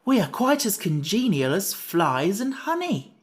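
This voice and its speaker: A woman's voice: mid-range voice, big pitch swings, unhurried, voice pushed up. A woman voicing a prim, easily offended male pumpkin-headed figure in a storybook reading.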